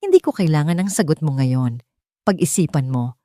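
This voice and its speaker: A woman's voice: low voice, lively intonation, normal speed, dry recording, studio quality. A woman voicing a cold, commanding billionaire father in an audiobook, speaking curtly and directly. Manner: direct, quietly threatening, no shouting.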